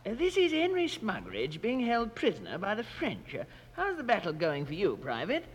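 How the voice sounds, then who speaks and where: A man's voice: high-pitched, wildly swooping pitch, normal speed, husky, exaggerated voice. A man playing a melodramatic field reporter on a stretcher who speaks in an earnest, mock-serious way.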